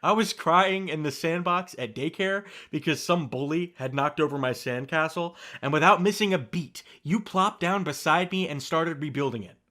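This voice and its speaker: A young man performing a scripted ASMR roleplay as a best friend, talking softly and gently and reassuring the listener, with light teasing laughs. He is recorded on a close studio mic. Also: on the high side, normal speed, hardly any pauses.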